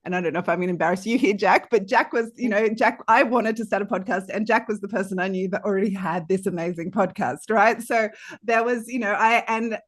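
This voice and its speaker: A woman's voice: mid-range voice, a little fast, dry recording. A woman with a mid-range voice speaks earnestly in a dry, close recording. She is casual and thoughtful, encouraging, and often repeats a phrase to rework it. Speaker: panel guest, podcaster.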